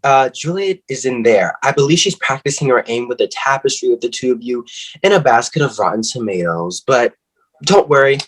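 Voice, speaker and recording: A man's voice: medium pitch, animated, a little fast, lightly acted, low-quality recording. A man in a comedic play reading, playing a cheeky young friend with a flippant, sarcastic delivery.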